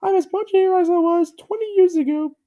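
A woman voicing a small, frightened clay boy in a high, squeaky put-on voice. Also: a little fast, mellow. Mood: mournful.

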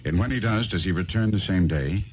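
An actor playing a shrewd, coolly unflappable detective in a 1970s radio drama, calm and dryly ironic. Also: male voice, low voice, fairly quick, highs cut off, slight background noise, close to an everyday voice.

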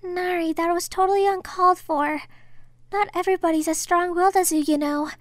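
A woman playing a kind, hopeful teenage schoolgirl in a game dub, speaking earnestly and gently. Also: very high-pitched, steady tone, brisk, dry recording, clean studio sound. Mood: earnest concern. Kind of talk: video game dub acting.

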